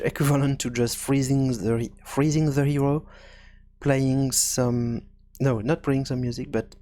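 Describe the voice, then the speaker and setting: A man giving an informal software tutorial, thinking aloud in a halting, self-correcting way. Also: medium pitch. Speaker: tutorial presenter.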